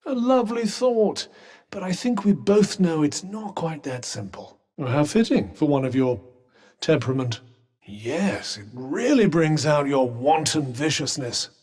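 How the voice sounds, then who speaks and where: A sardonic British magical cuff, a pompous male video game companion. His fairly high voice rises and falls expressively over formal, droll phrasing. A long reverberant tail hangs on every line. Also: animated, measured pace, a little mellow. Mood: disdainful, droll.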